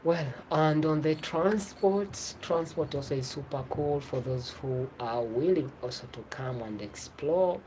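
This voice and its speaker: Tanzanian-accented vlogger over clear background noise. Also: mid-range voice, a little slow, hardly any pauses, dark tone, rough recording. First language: Swahili. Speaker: travel vlogger. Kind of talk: informal explanatory vlog talk.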